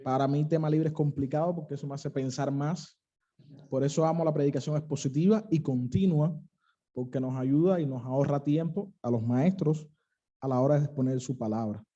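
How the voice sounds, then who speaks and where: A young man with a Cuban accent preaching an earnest sermon, pausing to stress key phrases. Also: fairly high pitch, warm tone, dull audio. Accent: Cuban, western coastal. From Cuba.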